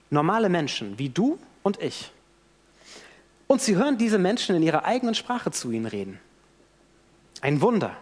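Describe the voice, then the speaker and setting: A man preaching a sermon in a church, telling the story in a vivid way and acting out what people say. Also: on the high side. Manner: animated storytelling with acted-out quotes. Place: church hall. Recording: long church hall reverb.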